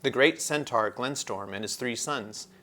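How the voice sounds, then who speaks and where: A man's voice: mid-range voice, unhurried, bright, forceful tone. Man reading a children's novel aloud. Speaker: storybook reader.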